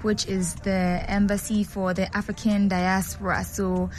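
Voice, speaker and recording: A woman's voice: mid-range voice, flat delivery, unhurried, darkish tone. A woman guest on a radio show who heads media and public relations, speaking in a composed, articulate way and with conviction.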